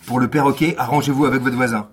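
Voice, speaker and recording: An actor playing a wry, world-weary police lieutenant in a radio drama, deadpan and ironic. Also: male voice, mid-range voice, fast, slight background noise, close to an everyday voice. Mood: exasperated, dry. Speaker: narrator and lead character. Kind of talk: scripted radio fiction acting.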